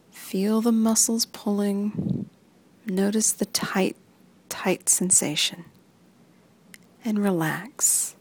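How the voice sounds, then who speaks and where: A woman reading a guided muscle relaxation script in soft, even instructions that often sink into a whisper. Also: mid-range voice, slightly rough.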